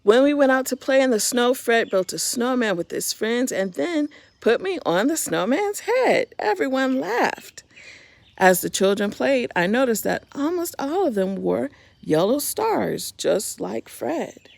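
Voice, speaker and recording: A woman with a heavily creaky voice reads aloud for young children. Her delivery is expressive and simple, turning to address the little listeners directly. The sound is close and dry. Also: a little high, unhurried, dry recording. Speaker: storyteller reading a picture book to children.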